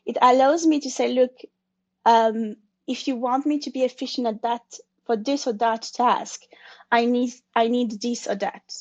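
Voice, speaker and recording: A woman's voice: on the high side, fairly even intonation, unhurried, lo-fi sound. A woman in her forties whose first language is French, speaking English as an interview guest in a thoughtful, hesitant way with frequent restarts. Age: 40s. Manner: thoughtful, hesitant, with restarts and non-native phrasing. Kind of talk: conversational interview.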